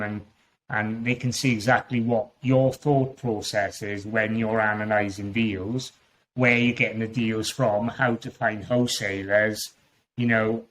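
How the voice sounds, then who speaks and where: A man from Wales speaks with a strong Welsh accent at an ordinary middle pitch. He is a podcast guest, talking in a loose, chatty way that rambles and often restarts its sentences. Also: fairly bright tone, poor audio. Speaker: guest Amazon seller. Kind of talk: casual podcast interview.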